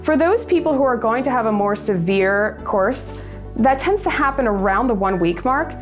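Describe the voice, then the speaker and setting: A woman physician calmly explaining illness symptoms in a measured, informative way. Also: a little high. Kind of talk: explanatory clip on medical symptoms. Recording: inserted clip with faint music.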